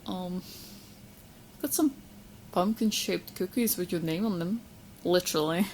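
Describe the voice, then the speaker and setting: A woman acts out a young witch hopelessly fixated on the listener in an audio roleplay. She talks in a slightly low female voice, coy and chatty, with smug confidence and small hesitant asides. A faint ambient noise sits behind her. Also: unhurried, darkish tone, rough recording. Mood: sweet, coaxing.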